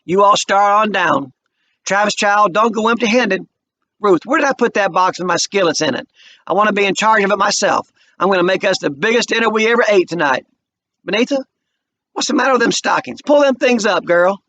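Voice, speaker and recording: A man's voice: high-pitched, fairly quick, bright, forceful tone, pitched above the performer's own voice. A man reading aloud and voicing an older mother of a family who gives folksy, scolding orders to everyone. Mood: bustling. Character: older woman, family matriarch, bossy and warm.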